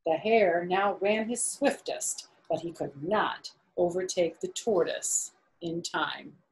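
A woman's voice: on the low side, slow pace, slight background noise, poor audio. A middle-aged woman reading and explaining a story to children, using simple explanations and questions for young listeners. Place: indoors, small living room on a sofa.

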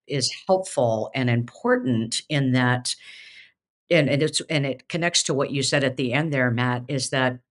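A woman's voice: very deep voice, unhurried. A middle-aged woman, a seminary professor hosting a casual theological discussion, who talks emphatically and restarts her phrases often, on a video call from her home office. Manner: emphatic, animated, many restarts.

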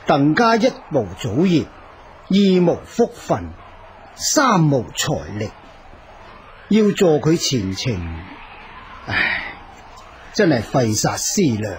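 An actor playing a learned, composed old feng shui master in a radio drama, with Hong Kong Cantonese speech and measured, old-fashioned phrasing. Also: male voice, on the high side, very animated, a little hiss or hum. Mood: weary, pondering.

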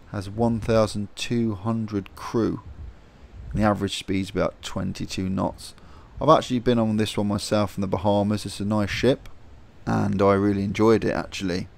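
A man narrating a casual travel vlog, chatty and relaxed, thinking aloud as he films outdoors by a harbour. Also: fairly low pitch, vocal fry. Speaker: vlogger.

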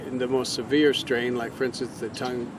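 A middle-aged man casually explaining a simple welding job, talking slowly with many restarts. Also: medium pitch, little pitch movement. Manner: slow, rambling, full of restarts and fillers. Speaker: hobby welder. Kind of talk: casual how-to demonstration. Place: outdoors in a parking lot and garage driveway. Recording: outdoor street noise behind.

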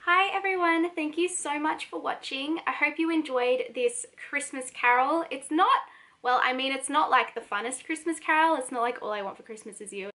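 A young woman in her twenties chatting casually to camera at home, rambling a little, her voice slightly croaky. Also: high voice, moderate pace, sharp tone. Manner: chatty, rambling, self-deprecating. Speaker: singer and vlogger. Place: home living room, indoors.

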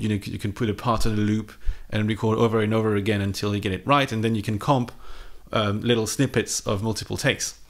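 A man in his thirties with a fairly low voice talks off the cuff to camera. He has the casual delivery of a guitarist running a music vlog, recorded on a close microphone in a small home studio. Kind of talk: casual unscripted chat to camera. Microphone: microphone on a boom arm, close.

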